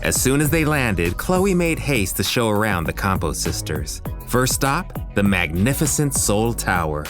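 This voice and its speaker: Male narrator for a scripted romcom podcast. Lowish, expressive, over a music bed. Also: unhurried, few pauses.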